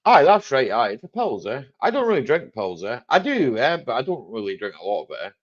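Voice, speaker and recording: Chatty Highland Scottish man, lively pitch. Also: fairly quick, lo-fi sound. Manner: jokey, laughs often, lots of "aye" and "eh". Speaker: livestream host. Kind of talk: casual live chat.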